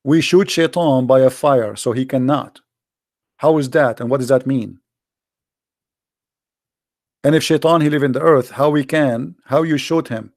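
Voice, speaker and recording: A man speaks straight to his viewers in a blunt, emphatic livestream monologue, preaching as a daily broadcast host. His voice has a gravelly rasp. The sound is dry and close, with no room echo. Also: medium pitch, unhurried, long pauses, a little mellow. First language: Arabic.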